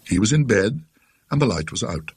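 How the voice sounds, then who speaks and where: British guy reading an old ghost story, husky, really swoops about, dry scholarly wit. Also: on the low side, sing-song, a little mellow, no room sound. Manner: scholarly, dryly witty, deliberate. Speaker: narrator. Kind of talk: scripted narration of a classic gothic ghost story.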